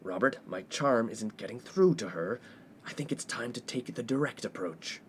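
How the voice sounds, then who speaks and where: A man's voice: mid-range voice, lively intonation, normal speed, slight background noise, close to an everyday voice. An actor playing a pompous, boastful young college man who fancies himself a ladies' man in a comic radio play. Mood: smug. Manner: pompous, boastful, theatrical delivery. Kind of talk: 1950s-set comedy radio play acting.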